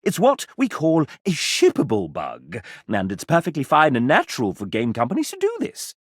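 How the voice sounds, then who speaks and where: An actor voicing a sassy, vain gentleman mascot in a video game, theatrical and pompous, with flamboyant old-fashioned phrasing and a husky edge. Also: fairly high pitch, huge pitch swings, normal speed, no room sound, studio quality, exaggerated voice. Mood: conspiratorial, blithe. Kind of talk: video game character dialogue.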